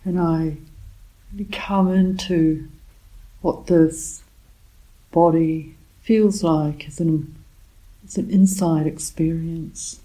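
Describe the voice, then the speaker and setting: Low, slow-speaking woman guiding meditation. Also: steady tone, mellow, poor audio. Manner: unhurried, with short phrases and long pauses. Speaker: meditation teacher. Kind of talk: guided meditation, softly spoken instruction.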